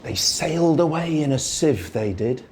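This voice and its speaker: A man reciting a children's poem as a storyteller, in a theatrical, singsong way with drawn-out phrasing. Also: fairly high pitch, expressive, darkish tone. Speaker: storyteller, radio presenter. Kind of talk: expressive children's poetry recitation.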